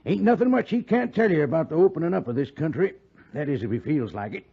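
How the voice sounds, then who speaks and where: A man's voice: fairly high pitch, expressive, fast, vocal fry, dark tone, husky, exaggerated voice. A man playing a folksy, sly frontier stagecoach attendant with an American Western drawl in a 1950s radio western. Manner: drawling, garrulous, salesmanlike. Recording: muffled, hissy 1950s radio recording.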